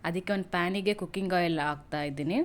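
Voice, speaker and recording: A woman from narrating a recipe step by step in a plain, instructional way, pausing between items. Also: lowish voice. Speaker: home cook presenting a recipe. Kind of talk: step-by-step cooking tutorial narration. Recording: noisy home cooking video audio.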